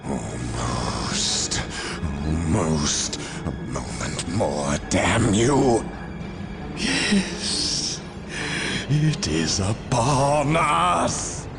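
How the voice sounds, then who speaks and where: A man's voice: sits low, animated, drawn-out words, gravelly, pauses often, over loud music, exaggerated voice. A man playing a gloating, power-mad traitor sorcerer in a video game, theatrical and drawn out. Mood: impatient menace. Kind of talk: video game villain acting.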